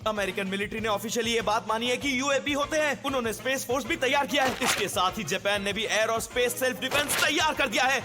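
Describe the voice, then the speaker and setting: Nerdy teen cartoon boy, high voice, talks really fast, earnest and pushy. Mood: excited. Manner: earnest, insistent, rambling. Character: nerdy, eager teenage boy obsessed with aliens. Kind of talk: Hindi anime dub acting. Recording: music behind the voice.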